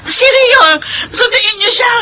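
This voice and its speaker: A woman's voice: extremely high pitch, slow pace, sharp tone, exaggerated voice. A woman playing an anguished, demanding young woman in a Filipino radio drama, melodramatic and wailing, with a Filipino accent. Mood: pained, panicked. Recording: noisy radio drama recording.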